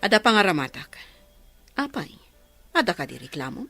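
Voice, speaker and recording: A woman's voice: medium pitch, very animated, moderate pace, a bit bright, soft background music. A woman playing a haughty, spendthrift rich wife, defiant and scornful, in a Filipino radio drama with a Filipino accent.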